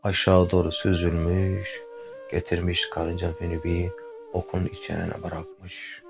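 Male storyteller, deep voice, gentle and unhurried, speaking to a child; faint flute underneath. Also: monotone, creaky. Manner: gentle, unhurried, addresses the listener directly. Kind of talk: telling a fairy tale to a child. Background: faint flute music.

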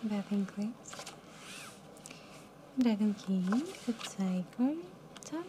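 A young woman dealing cards at a live casino table, calling results in a soft, mumbly voice that sinks toward a whisper. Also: medium pitch, drawn-out words, slight background noise. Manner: soft, mumbly, drops to near whisper at round ends. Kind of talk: calling card game rounds.

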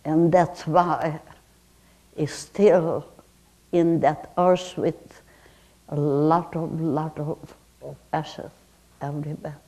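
Old lady w hungarian accent telling her story real slow, mellow dark voice, lecture room. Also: low-pitched, fairly even intonation. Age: older. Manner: slow, deliberate storytelling with broken English grammar. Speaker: public speaker. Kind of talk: personal testimony talk.

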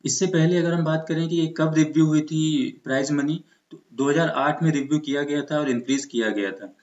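A man's voice: a little high, a little fast, darkish tone. An Indian man teaching exam preparation in an explanatory lecture style, mixing in English terms. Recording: muffled, echoey room recording.

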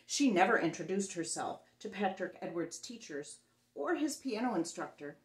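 A middle-aged woman performs a read-aloud for little children. Her pitch moves widely and she leans into dramatic emphasis and pauses. The room adds noticeable echo around her voice. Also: very animated. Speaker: children's storyteller reading a picture book aloud. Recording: roomy echo on the voice.

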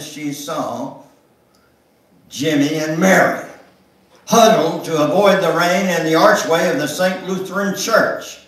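An older man reads a memoir aloud with deliberate, theatrical storytelling. His pace is slow and his pitch sits in the middle range for a man. The sound is very echoey, picked up at a distance in a room. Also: a bit bright. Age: older, 70s or above. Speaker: guest speaker reading aloud. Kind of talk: dramatic reading of a memoir. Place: church sanctuary, at a lectern. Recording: echoey room, recorded from far off.

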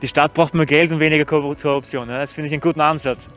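A man's voice: a little high, fairly even intonation, rapid-fire, a bit bright, faint music behind. A young man doing street interviews outdoors in a city, talking into a handheld microphone in an upbeat, promotional way. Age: young adult.

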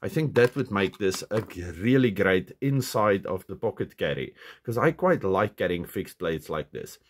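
A man's voice: low-pitched, expressive, normal speed, a little hiss or hum. Nasal, stuffy-nosed man reviewing knives. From South Africa. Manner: chatty, self-correcting, lots of "okay" and "um". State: stuffy nose from a cold. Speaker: knife reviewer. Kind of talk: casual hands-on product review. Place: indoors at a desk. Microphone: camera on the desk, hands-only framing.

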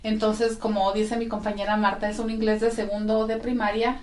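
A woman's voice: mid-range voice, flat delivery, normal speed, darkish tone. Woman in her forties, legal representative, friendly explainer, echoey office sound. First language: Spanish. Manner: friendly, explanatory, frequent tag questions. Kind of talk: informative interview talk. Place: small office with bookshelves, indoors.

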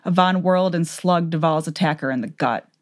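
Female narrator for fantasy audiobook: low register, measured, dramatic delivery.

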